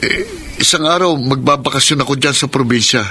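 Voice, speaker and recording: An actor playing a respectful but defensive young son in a Filipino radio drama, with a Filipino accent. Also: male voice, mid-range voice, a little fast, sharp tone, slight background noise, close to an everyday voice. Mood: defensive, resolute.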